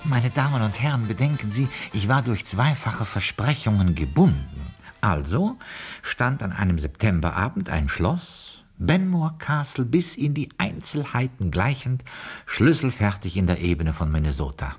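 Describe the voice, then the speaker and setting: A stiff, courteous English butler in a radio play. His voice is low and husky, with wide, theatrical swings of pitch. He phrases everything ornately and deferentially, with pompous flourish. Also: very animated, moderate pace, faint music behind, exaggerated voice. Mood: pompous, pleading. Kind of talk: German radio play acting and narration.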